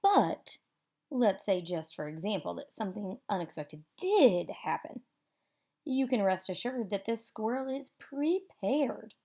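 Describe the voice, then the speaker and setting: A woman with a warm, rounded voice of average pitch reads a picture book for children. Her delivery is expressive and theatrical. She leans on key words and leaves dramatic pauses.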